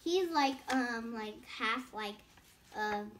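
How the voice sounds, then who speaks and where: High-pitched young girl reading a storybook. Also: expressive, takes it slowly, fairly bright tone, slight background noise. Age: child. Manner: stumbles over words and rereads phrases. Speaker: host reading a bedtime story. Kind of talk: read-aloud storybook narration with casual asides.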